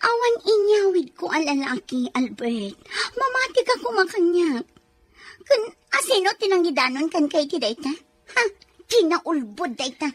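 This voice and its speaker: A high-pitched, very lively voice plays a secretive lady of the house acted by a woman in an audio drama. She sounds defensive and insistent, with theatrical swings in her delivery. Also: moderate pace, no room sound. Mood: startled, defensive. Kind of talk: Ilocano radio-style drama acting.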